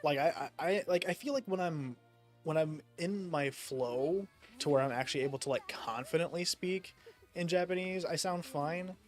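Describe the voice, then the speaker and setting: A man's voice: on the high side, normal speed, faint music behind. A young man from the United States chatting casually while gaming, rambling with lots of fillers and casual swearing. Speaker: streamer.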